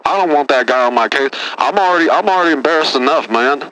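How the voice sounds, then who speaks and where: A man's voice: a little high, animated, fast, bright, forceful tone, a little hiss or hum, rough recording. A man playing a boastful, touchy caller from Texas who says "man" after nearly every phrase, in a comedy radio bit. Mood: whiny, indignant. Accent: Texan.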